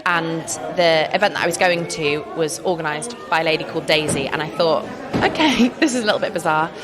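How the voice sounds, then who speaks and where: A woman's voice: low voice, a little fast. Chatty English woman at a busy fair. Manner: enthusiastic, chatty, laughs often. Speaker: artist interviewed. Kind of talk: casual interview chat. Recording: crowd chatter behind.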